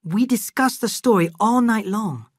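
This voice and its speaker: A woman's voice: mid-range voice, lively intonation, a little slow, breathy edge, no echo, studio quality, pitched above the performer's own voice. A woman reading aloud, voicing a thoughtful middle-aged male cook who explains things earnestly and carefully.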